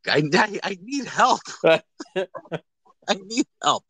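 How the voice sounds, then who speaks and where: Guy on a movie podcast, bright punchy voice, bouncy delivery, rambles and jokes around, really dry sound. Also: high-pitched, slow, slightly rough, no echo. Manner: animated, rambling, jokey, uses profanity. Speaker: podcast host. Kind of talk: casual movie review chat.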